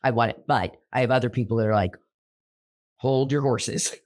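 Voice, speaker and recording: A man's voice: mid-range voice, normal speed, darkish tone. Male co-host, theater director type, rambling casual chat with lots of ums and restarts, getting over a cold. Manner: rambling, many fillers and restarts. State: recovering from illness.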